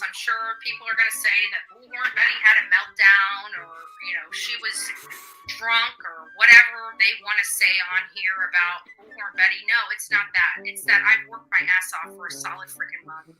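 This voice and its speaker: A woman's voice: mid-range voice, moderate pace, sharp tone, soft background music, poor audio. An American woman talking unscripted to her viewers in a defensive, emphatic way, repeating herself for stress.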